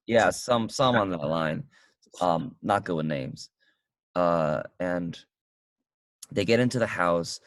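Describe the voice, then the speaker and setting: Deep-voiced man in casual film chat. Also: no echo. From United States. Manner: halting, many restarts and fillers. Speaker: co-host reviewing a film. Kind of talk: casual movie review chat. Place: car.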